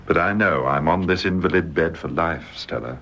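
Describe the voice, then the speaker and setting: Low-voiced, wistful British invalid husband. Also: male voice, a bit bright. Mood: resigned. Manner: earnest, halting, tender. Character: devoted bedridden invalid husband, wistful and resigned. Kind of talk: radio drama acting. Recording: dull lo-fi radio drama with hiss.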